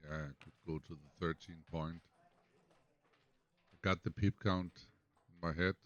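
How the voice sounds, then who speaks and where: A man's voice: deep voice, fairly even intonation, measured pace, fairly bright tone. A man commenting on his own backgammon match, thinking aloud in English, which is not his first language. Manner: analytical, muses over moves half to himself. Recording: dull lo-fi recording.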